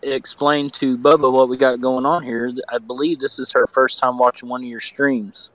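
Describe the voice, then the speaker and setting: A man's voice: medium pitch, little pitch movement, moderate pace, fairly bright tone, dry recording, poor audio. A man joining a friend's stream for casual chat, a little stuffy from a cold, laughing easily.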